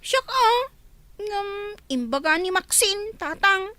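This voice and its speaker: A woman's voice: very high voice, expressive, slow, bright, forceful tone, no room sound, exaggerated voice. A woman playing a plaintive young daughter in a radio drama, drawing out her pleas. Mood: pleading. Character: young daughter who borrowed money, pleading to study.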